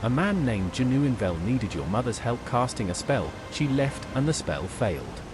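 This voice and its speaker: A woman's voice: extremely low pitch, very animated, normal speed, background noise. A woman voicing a plain-spoken, helpful adventurer in video game dialogue, with a matter-of-fact manner. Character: plain-spoken adventurer helping troubled souls.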